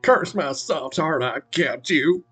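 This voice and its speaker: A man voicing a huge, bossy mother Cyclops who growls and scolds loudly and slowly. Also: a little high, slightly rough, faint music behind, voice pushed up, full character voice. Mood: scolding. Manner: growling, loud, slow and scolding.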